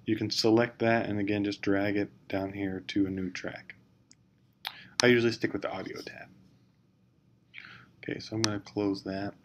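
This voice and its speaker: A man's voice: lowish voice, flat delivery, normal speed, long pauses. A man narrating a casual instructional screencast, explaining step by step in an informal, hesitant way. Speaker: teacher giving software tutorial. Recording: clear background noise.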